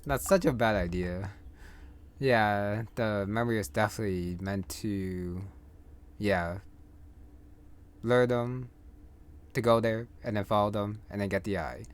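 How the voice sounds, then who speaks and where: Low-voiced man commentating on a game. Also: measured pace, pauses often, smooth. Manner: rambling, thinking aloud. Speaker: gaming streamer. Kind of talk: casual gameplay commentary. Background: game music.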